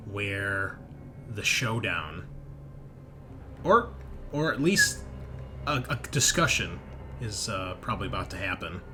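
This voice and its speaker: A man runs a tabletop roleplaying game as game master. He narrates scenes in a conversational, improvised way and checks in with players, at a middling pitch, with some background noise. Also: bright, forceful tone. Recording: noisy background ambience.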